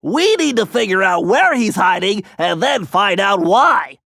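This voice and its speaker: A brainy cartoon turtle, the anxious tech whiz of a video game crew. The voice is very high and nasal with a creaky edge, swinging animatedly in pitch. The delivery is precise and pedantic, recorded close and dry. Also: male voice, sing-song, measured pace, bright, forceful tone, no echo, exaggerated voice. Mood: earnest, explaining.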